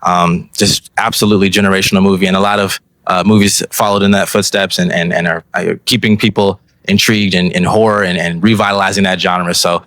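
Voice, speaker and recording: A man's voice: low-pitched, flat delivery, brisk, few pauses, a bit bright, dry recording. A man hosting an on-location podcast interview outdoors at a film festival, talking in a hyped, slangy, playful way. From Los Angeles. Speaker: podcast host interviewing filmmakers.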